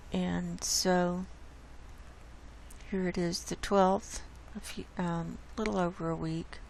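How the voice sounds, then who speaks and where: A woman host with a hoarse voice, casually walking viewers through data shown on her screen. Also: fairly low pitch, a little hiss or hum. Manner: unhurried, explains data on screen. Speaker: channel host presenting climate data. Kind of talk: casual screen-share presentation.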